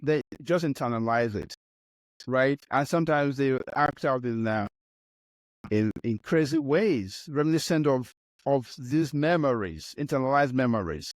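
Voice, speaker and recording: A man's voice: mid-range voice, lively intonation, unhurried, dry recording. A man from Nigeria, a physician hosting an interview, talking emphatically and cutting in with his own opinions. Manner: emphatic, cuts in with reactions and opinions. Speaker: host, physician. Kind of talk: interview conversation.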